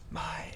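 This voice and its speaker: A man's voice: sharp tone, slight background noise. A man playing a servile, nervous vampire's thrall in a roleplay audio drama, stammering and deferential. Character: servile, nervous male vampire's thrall, bitterly eager to please.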